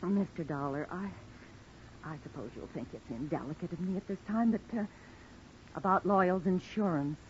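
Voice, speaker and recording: A woman's voice: on the low side, animated, normal speed, close to an everyday voice. Woman doing a prim old lady housekeeper, stiff n disapproving, vintage radio show, dull sound w some noise. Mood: hesitant, uneasy. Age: older. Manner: formal, hesitant, disapproving. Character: prim elderly housekeeper of a country estate. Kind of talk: 1940s-50s radio acting. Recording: noisy, muffled old radio recording.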